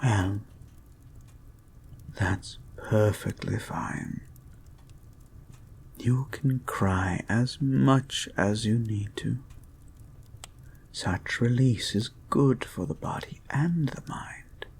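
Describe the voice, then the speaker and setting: A man playing a soothing, possessive and gently controlling therapist in an intimate hypnosis audio roleplay, speaking in a soft, breathy, hushed and deliberate way. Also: on the low side, exaggerated voice. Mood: consoling. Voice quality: soft, breathy, husky, often near a whisper. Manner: slow, hushed, deliberate, coaxing.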